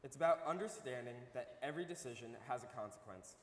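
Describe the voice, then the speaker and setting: A man's voice: medium pitch, expressive, fast, over a noisy crowd. A man presenter delivering a scripted address from a stage. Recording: echoey hall, faint noise.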